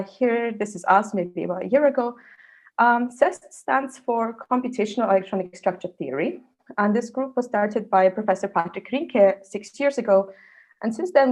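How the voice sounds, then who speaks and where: A woman's voice: medium pitch, a little mellow. A woman from Serbia, a research fellow in her thirties, giving an online scientific presentation with frequent fillers.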